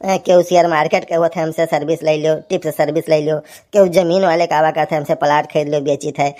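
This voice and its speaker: A woman's voice: sits low, fairly even intonation, fairly quick, warm tone, close to an everyday voice. A woman voicing a harried village husband in a cartoon comedy, rambling and grumbling with an Awadhi accent. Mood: pleading, defensive.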